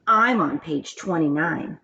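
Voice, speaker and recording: A woman's voice: deep voice, animated, slow pace, pushed and bright, dull audio, slight background noise, exaggerated voice. A woman voicing a bossy, boastful schoolgirl in a storybook reading, demanding and whiny as she pesters a classmate. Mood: taunting.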